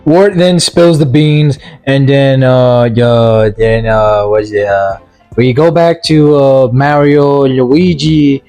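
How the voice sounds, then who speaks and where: A man giving a casual, rambling movie review in a halting, stammering way, with a sarcastic, mock-earnest streak. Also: mid-range voice, steady tone, darkish tone, faint music behind. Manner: halting, stammering delivery with repeated words. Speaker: video reviewer.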